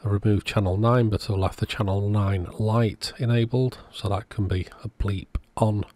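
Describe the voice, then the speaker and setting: A man's voice: fairly low pitch, steady tone, moderate pace, darkish tone. A man giving a casual, matter-of-fact workbench narration as the host of an electronics repair video.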